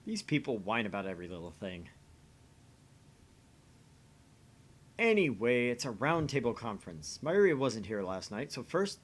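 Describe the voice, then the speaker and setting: A man's voice: medium pitch, close to an everyday voice. A man playing a theatrical, pompous young self-styled mad scientist in a visual novel, switching between grand pronouncements and narration. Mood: haughty. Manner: grandiose declarations, quieter asides as narrator.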